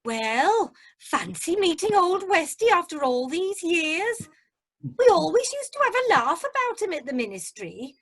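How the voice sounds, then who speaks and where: A woman's voice: very high-pitched, big pitch swings, measured pace, a bit bright, exaggerated voice. A woman playing a chatty, nosy cruise passenger who loves gossip, in an amateur radio drama. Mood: gossipy, amused. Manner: gossipy, chirpy.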